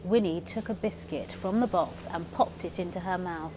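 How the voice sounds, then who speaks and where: A woman's voice: lowish voice, moderate pace, background noise. A woman professional storyteller narrating a children's story expressively, leaning into the sound words. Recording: music behind the voice. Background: music.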